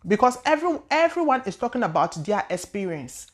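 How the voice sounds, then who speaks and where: A woman's voice: medium pitch, sing-song, brisk. A woman from Ghana, hosting her own channel as a spiritual teacher and answering viewer questions in a loose, searching way that often repeats words and trails into hesitations. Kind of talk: casual spoken talk answering viewer questions.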